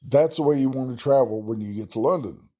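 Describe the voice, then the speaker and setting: Folksy Texan man, dark husky voice. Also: medium pitch, brisk. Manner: folksy, chatty, self-deprecating asides. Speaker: travel podcast host. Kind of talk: casual solo travel talk.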